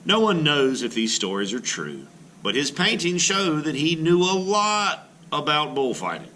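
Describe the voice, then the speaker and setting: A man's voice: on the high side, wildly swooping pitch, a little slow, bright, forceful tone, slight background noise. A man, an elementary art teacher, reads a picture book aloud to children with expression and breaks off now and then to add his own teacherly asides.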